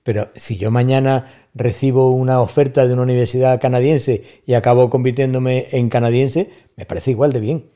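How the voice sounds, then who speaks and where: Andalusian man telling stories, mellow voice. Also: medium pitch, steady tone, brisk. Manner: storytelling, thoughtful, with rhetorical asides. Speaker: guest, university staff member. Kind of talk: casual conversation on a podcast.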